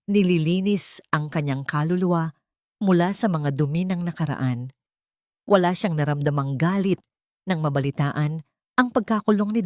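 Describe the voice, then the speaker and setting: A woman's voice: fairly low pitch, a little mellow, no room sound, clean studio sound. A woman with a Filipino accent narrating a dramatic story from a script.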